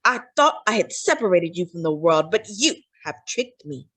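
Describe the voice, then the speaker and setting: A woman voicing a wicked, domineering sorceress in a storybook, with stern, commanding, drawn-out threats. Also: on the low side, big pitch swings, fairly bright tone, husky, exaggerated voice. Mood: furious.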